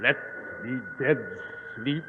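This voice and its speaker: An actor playing the menacing, unseen guardian of an Egyptian tomb in solemn, archaic 1940s radio style. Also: male voice, medium pitch, in a large hall, lightly acted. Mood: ominous warning. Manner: slow, solemn, archaic incantation. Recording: hollow, noisy, phone-like old radio recording.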